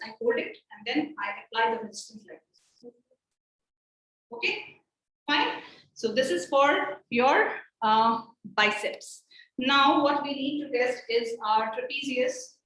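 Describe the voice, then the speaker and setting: A high-voiced woman teaching a hands-on demonstration, checking in often with "okay" and "right". Her voice sounds far from the mic and echoes heavily around the room. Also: animated, normal speed, a bit bright. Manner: explains step by step, checking with frequent "okay" and "right". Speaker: medical teacher. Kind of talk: live clinical demonstration.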